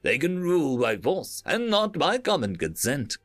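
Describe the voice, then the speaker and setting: Man voicing an anxious high-pitched alien noble. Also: wildly swooping pitch, moderate pace, a bit bright, no echo, faint music behind. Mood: bitter, insistent. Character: alien Highborn councillor, anxious, formal, distrustful of authority.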